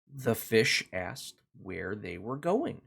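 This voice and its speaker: Man, children's read-along host, unhurried delivery, lively pitch, deliberate sing-song emphasis. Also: creaky, breathy edge. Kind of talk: slow, expressive picture-book narration for children.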